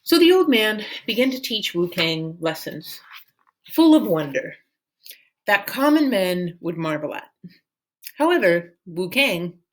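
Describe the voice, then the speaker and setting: Expressive woman reading a story aloud. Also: mid-range voice, a little slow, poor audio. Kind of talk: expressive read-aloud narration.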